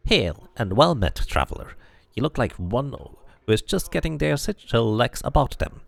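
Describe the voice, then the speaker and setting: A man's voice: medium pitch, very animated, moderate pace, gravelly, no room sound, voice pushed up, exaggerated voice. A man voicing a tiny, wizened, fidgety man in his nineties, an evasive official with fussy, old-fashioned formal speech for a game. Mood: courteous, eager.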